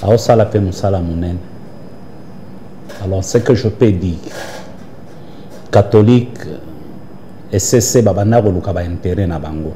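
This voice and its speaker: A man's voice: deep voice, moderate pace, pauses often, mellow, slight background noise. A man, a church prophet answering interview questions with an emphatic, preaching cadence. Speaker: prophet and church leader, guest.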